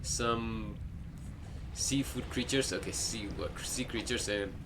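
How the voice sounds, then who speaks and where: A man's voice: on the low side, slow pace, background noise. A man hosting a gaming video with casual, reactive commentary, talking straight at the game's character. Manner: reacts aloud, addressing the game character directly.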